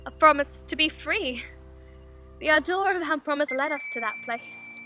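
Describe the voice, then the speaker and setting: A woman's voice: high voice, over music, close to an everyday voice. A young woman playing an intense, repressed aristocratic spinster haunted by her youth, in a theatrical confessional monologue. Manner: theatrical, confessional, deliberate phrasing. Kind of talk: dramatic monologue. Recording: tinny band-limited noisy amateur film sound.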